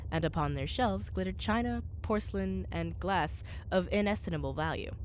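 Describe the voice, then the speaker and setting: A woman playing the scholarly narrator of a radio play, recounting a voyage in formal, measured storytelling. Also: lowish voice, close to an everyday voice, low-quality recording. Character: scholarly male professor recounting his own undersea voyage. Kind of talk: scripted dramatic narration. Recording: clear background noise, live stage recording.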